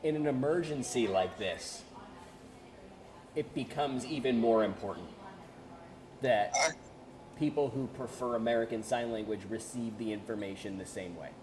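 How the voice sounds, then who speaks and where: A man's voice: medium pitch, moderate pace, pauses often, a crowd in the background. A man interviewing a guest as a reporter, asking polite and slightly hesitant questions. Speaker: news reporter. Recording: noisy field recording.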